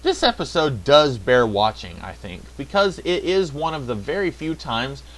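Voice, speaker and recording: A man's voice: medium pitch, very animated, normal speed, a bit bright, a little hiss or hum, poor audio. A man talks through a scripted review in a mid-range voice that rises and falls a lot. His delivery is wry, with sarcastic asides. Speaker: video reviewer.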